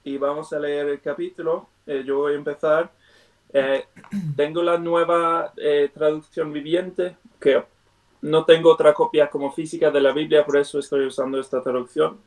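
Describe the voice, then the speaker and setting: Man leading a prayer, halting. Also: fairly high pitch, flat delivery, darkish tone. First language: not Spanish. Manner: hesitant, many fillers and restarts. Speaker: Bible study leader. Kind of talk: prayer and Bible study on a video call. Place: at home on a video call. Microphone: computer mic on a video call. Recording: video call audio from far off the mic.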